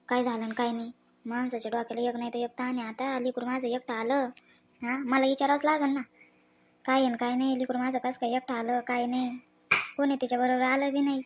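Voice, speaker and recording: A woman's voice: sits high, little pitch movement, fast talker, a little mellow, close to an everyday voice. A woman with a rural Maharashtrian accent playing a worried, scolding village mother in a family story cartoon. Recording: faint background noise.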